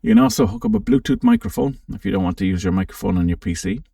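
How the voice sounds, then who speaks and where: Low, creaky man talking through software. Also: wildly swooping pitch, racing, clean studio sound. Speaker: presenter of a software demo. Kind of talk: casual unscripted walkthrough commentary. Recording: roomy, slightly distant microphone.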